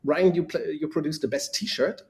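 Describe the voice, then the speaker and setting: A man's voice: fairly high pitch, normal speed. A man with a German accent, a native German speaker, co-hosting a casual live discussion in an enthusiastic, rambling way that often repeats words. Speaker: co-host.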